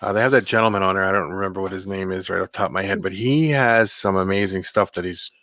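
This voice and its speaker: A man with a deep voice chatting casually as a guest, hesitant and full of ums. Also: fast talker, fairly bright tone. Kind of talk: casual chat.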